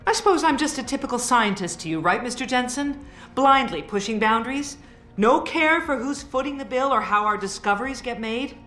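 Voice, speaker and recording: An actress playing a wry, guarded female research scientist in a video game, speaking in a measured way. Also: on the high side, animated, sharp tone. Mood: wry, defensive. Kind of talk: video game cutscene dialogue. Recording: roomy game cutscene with music. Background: background music.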